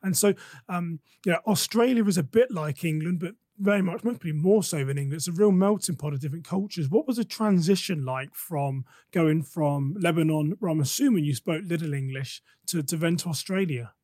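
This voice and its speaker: Higher-voiced male podcast host, dry sound. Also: a little fast, a little mellow, dry recording. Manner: chatty, open-ended questions. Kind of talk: conversational podcast interviewing.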